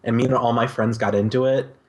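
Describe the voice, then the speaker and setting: A man's voice: mid-range voice, fairly even intonation, fast talker. A man chatting casually as a co-host on a podcast.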